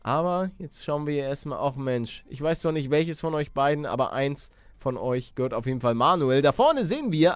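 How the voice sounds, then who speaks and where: A young man giving casual, slangy video game commentary as a let's play host, animated and chummy with his viewers. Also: a little high, no echo.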